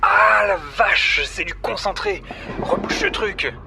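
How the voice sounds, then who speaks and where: An actor playing a brash, excitable submarine crew diver in an audio drama. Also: medium pitch, slow, vocal fry, over music, full character voice. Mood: alarmed. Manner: excitable, swearing, commanding.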